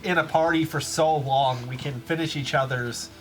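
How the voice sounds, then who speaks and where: A man's voice: a little high, steady tone, moderate pace, a bit bright, soft background music, poor audio. A man playing a tabletop game, bantering playfully about the plan. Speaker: player. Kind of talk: casual tabletop play.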